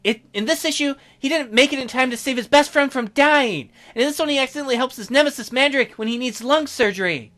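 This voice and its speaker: Man as touchy young nerdy woman in a visual novel game: high, bright, indignant, emphatic comic-book fan. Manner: indignant, emphatic, fast-swinging.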